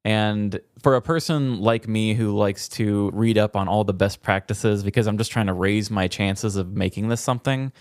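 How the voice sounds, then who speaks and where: A man with a fairly low voice, recorded close and dry. He co-hosts a casual comedy podcast and speaks candidly, in an informal, earnest way. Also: normal speed, few pauses, dry recording. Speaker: podcast co-host.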